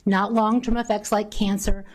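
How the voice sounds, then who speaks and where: Woman at the public mic reading her testimony, earnest, mid pitch. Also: little pitch movement, normal speed. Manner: earnest, reading a prepared statement. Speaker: public hearing speaker. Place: council chamber. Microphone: desk microphone.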